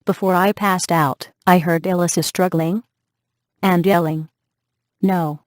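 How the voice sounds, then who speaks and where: An actress plays a prim, self-absorbed woman rattled by events in a video game. Her voice is a little low, chatty yet melodramatic, and recorded dry. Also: fairly even intonation, a little slow, dry recording, natural voice. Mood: shaken, melodramatic.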